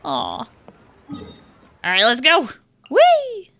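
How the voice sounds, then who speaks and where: A woman's voice: high-pitched, wildly swooping pitch, extremely slow, gravelly, fairly bright tone. A young adult woman giving casual, playful live commentary on a game, with sing-song exclamations. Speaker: game streamer.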